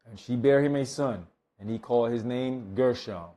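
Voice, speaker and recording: A man serving as scripture reader at a Bible study, measured and plain in delivery. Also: mid-range voice, warm tone. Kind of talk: reading scripture aloud, brief comments.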